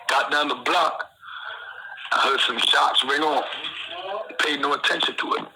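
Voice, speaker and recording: A man's voice: a little high, steady tone, takes it slowly, bright, forceful tone, slight background noise. A man from Trinidad telling his story over a phone line in a rambling, street-slang way. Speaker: incarcerated guest on the phone. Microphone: phone call.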